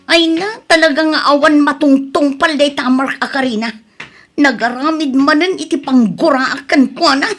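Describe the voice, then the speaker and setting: A woman playing a sharp, reproachful young wife who scolds her husband in an audio drama. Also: high voice, moderate pace, faint music behind. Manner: nagging, scolding.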